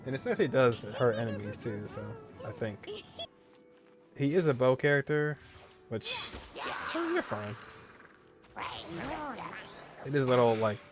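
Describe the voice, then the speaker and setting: A man's voice: mid-range voice, big pitch swings, moderate pace, long pauses. A man speaking as a gaming streamer, giving casual, slangy commentary while he plays. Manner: informal, slangy. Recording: game music behind the voice. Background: video game music.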